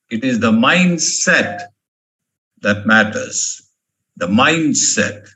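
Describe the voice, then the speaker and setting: A man's voice: mid-range voice, a bit bright, poor audio. A male professor from India giving a motivational management lecture, emphatic and fond of repeating key words. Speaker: professor giving a guest talk.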